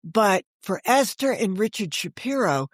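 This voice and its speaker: An older woman tells publishing-style anecdotes as an interview guest. Her medium-pitched voice is recorded dry, and she frames her storytelling with rhetorical questions and quoted dialogue. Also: big pitch swings, unhurried, dry recording. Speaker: guest, author and former book editor. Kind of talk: animated storytelling in a podcast interview.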